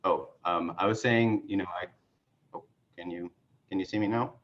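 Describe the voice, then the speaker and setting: A middle-aged man from Mexico, whose was not English, giving a halting, filler-heavy self-introduction over a video call. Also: sits low, highs cut off. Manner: rambling, halting with many fillers and restarts. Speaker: party chair candidate. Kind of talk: casual conversational self-introduction.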